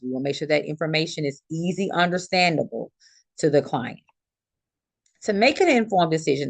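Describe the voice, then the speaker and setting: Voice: mid-range voice, dry recording. Instructor giving an informal lecture, close dry sound. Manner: explanatory, repeats and rephrases key points. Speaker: instructor lecturing healthcare students.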